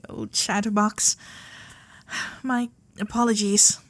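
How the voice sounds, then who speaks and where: A woman playing a shy, starry-eyed young Gilded Age heiress in an intimate romantic ASMR roleplay, breathy and often whispering, with genteel, hesitant manners. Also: mid-range voice, level tone, no echo, natural voice. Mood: bashful. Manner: genteel, old-fashioned politeness, hesitant pauses.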